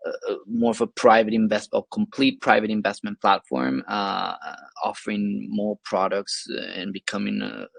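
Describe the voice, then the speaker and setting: A man's voice: fairly low pitch, normal speed, gravelly, no room sound. A man from Venezuela, a guest explaining his work in a webinar interview, hesitant with many fillers and restarts. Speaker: guest, investment platform associate.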